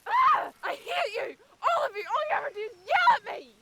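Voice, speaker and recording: A woman's voice: very high voice, huge pitch swings, normal speed, heavily acted. An actress playing a headstrong, defiant young schoolgirl in an audio drama, emotional and rebellious, with a Northern Irish accent. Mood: angry, defiant. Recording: loud noise behind the voice.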